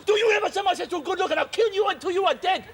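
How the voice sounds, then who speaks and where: Very high-pitched ranting dimwit. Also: male voice, very fast. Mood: threatening, indignant. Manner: repetitive, muddled, ranting. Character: dim, aggressive, overprotective young man threatening everyone. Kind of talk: live sketch comedy. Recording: noisy live audience recording.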